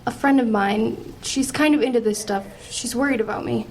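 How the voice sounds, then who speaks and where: A woman's voice: on the high side, normal speed, a little mellow, slight background noise, lightly acted, poor audio. A woman playing an earnest, persistent and anxious teenage girl in an audio drama, probing and a little hesitant. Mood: probing, uneasy. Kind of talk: audio drama acting and first-person narration.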